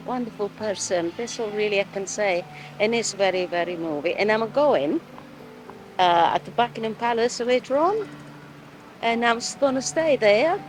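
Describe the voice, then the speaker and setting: A woman's voice: medium pitch, rough recording. A woman in a street interview, speaking emotionally and repeating her words. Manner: emotional, repetitive. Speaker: member of the public.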